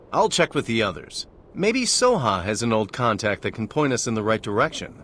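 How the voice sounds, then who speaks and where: An actor playing an earnest, level-headed martial arts master in a fantasy video game, talking in a thoughtful, conversational way. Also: male voice, mid-range voice, fairly bright tone, a little hiss or hum. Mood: considering. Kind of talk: scripted fantasy game dialogue.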